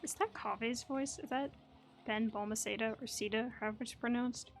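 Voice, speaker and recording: A woman's voice: on the high side, fairly even intonation, moderate pace, soft background music. A woman hosting a gaming video, giving casual, musing commentary that wanders off on tangents. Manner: musing, digressive. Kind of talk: casual chatty playthrough commentary.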